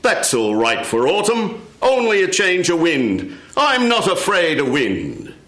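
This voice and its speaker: A man's voice: fairly high pitch, huge pitch swings, a little slow, fairly bright tone, roomy sound, voice pushed up, exaggerated voice. A man voicing a confident, plain-spoken fisherman in a folk tale. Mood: resolute. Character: lonely, confident fisherman unafraid of the sea.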